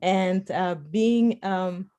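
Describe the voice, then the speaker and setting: A woman's voice: mid-range voice, fairly even intonation, very slow, mellow. A woman who grew up in Portugal and Spain, a native Portuguese speaker and political science professor, joining a workshop discussion over a video call and telling a story with laughs.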